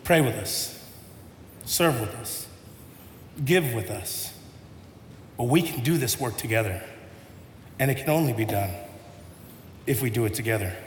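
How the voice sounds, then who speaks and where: A man's voice: medium pitch, a little slow, pauses often, slight background noise. A man preaching a sermon as pastor, telling a story and then building to an impassioned appeal. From United States. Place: church hall. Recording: echoey hall with music.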